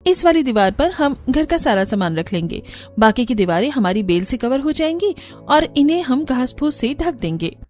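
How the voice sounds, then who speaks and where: A woman's voice: sits high, big pitch swings, moderate pace, a little mellow, soft background music. A woman voicing a cheerful, determined young village woman in a cartoon, earnest and eager. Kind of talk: animated Hindi cartoon story acting.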